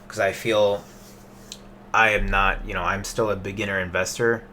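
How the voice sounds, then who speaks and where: A man in his late twenties talks casually at his desk, rambling through an investing update with lots of fillers and restarts. His voice sits a little low but comes across bright and forceful. A faint hum of background noise sits under the webcam sound. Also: little pitch movement, normal speed. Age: 20s. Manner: loose and chatty, many fillers and restarts. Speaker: investing vlogger.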